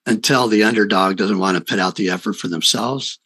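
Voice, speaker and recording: Low, bright-voiced man hosting an interview. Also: brisk. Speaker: podcast host, interviewer. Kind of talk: casual conversational interview.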